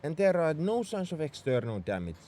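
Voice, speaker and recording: A man's voice: fairly high pitch, very animated, moderate pace, dark tone, a little hiss or hum. A man playing a tsundere mech engineer in a roleplay audio drama, scolding and sarcastic with worry underneath. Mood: angry, incredulous. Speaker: voice actor.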